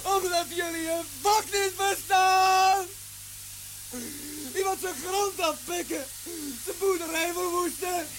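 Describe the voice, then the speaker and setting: High, desperate young man, hissy recording. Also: little pitch movement, takes it slowly. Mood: bitter despair. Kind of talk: television drama acting. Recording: hissy, noisy old TV soundtrack.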